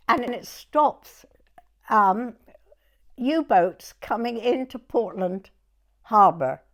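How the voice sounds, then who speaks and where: A woman in her nineties with a southern English accent, reminiscing conversationally in a halting way and often checking that she is understood. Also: on the high side, fairly bright tone. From Dorset, England. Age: 90s. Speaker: oral history interviewee.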